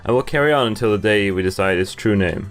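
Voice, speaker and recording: A man's voice: fairly low pitch, quick pace. A young man on a headset casually reading a visual novel aloud and commenting as he goes. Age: young adult. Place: indoor room at a computer. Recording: game music behind the voice.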